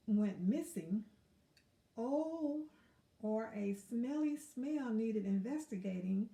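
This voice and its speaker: Woman reading a kids book, unhurried, soft mellow tone, echoey room. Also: fairly high pitch, gravelly, recorded from a distance. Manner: expressive, asks questions of young listeners. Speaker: storyteller reading to children. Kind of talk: read-aloud picture book narration. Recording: roomy echo, away from mic.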